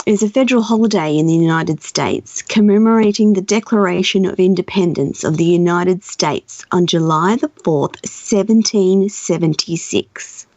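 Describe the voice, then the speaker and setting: Croaky-voiced woman radio host. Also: medium pitch, darkish tone, dry recording, muffled recording. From Australia. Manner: chatty, reads facts aloud steadily. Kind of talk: hosting and reading a history piece. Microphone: studio microphone.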